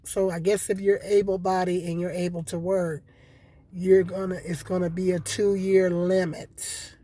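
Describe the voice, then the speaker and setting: A hoarse woman with a cold, talking casually and rambling to her viewers with many repeats and fillers. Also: on the low side, level tone, a little slow, warm tone. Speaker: vlogger. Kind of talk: casual solo commentary.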